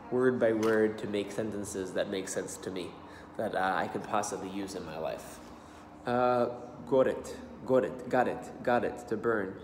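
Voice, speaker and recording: A man in his thirties with an American accent, practicing a new language aloud at his desk, repeating words slowly and haltingly. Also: lowish voice, a little hiss or hum. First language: English. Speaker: language learner. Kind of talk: self-study vlog, reading phrases aloud. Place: small room indoors. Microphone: laptop webcam at desk. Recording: roomy echo with long reverb.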